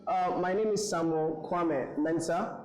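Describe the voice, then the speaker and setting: A man's voice: fairly high pitch, a little slow, darkish tone. A young man from Ghana giving an earnest motivational speech to graduates, with frequent fillers and repeated words. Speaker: invited speaker. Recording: echoey room.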